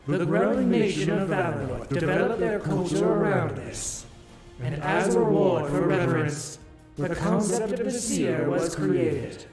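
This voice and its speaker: Slow, solemn, high-voiced ancient ruler. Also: male voice, big pitch swings. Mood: measured, explaining. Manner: grave, deliberate, theatrical pronouncements. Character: solemn, ancient otherworldly ruler, calmly justifying terrible deeds. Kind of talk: dramatic visual novel voice acting. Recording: echoing voice under loud music.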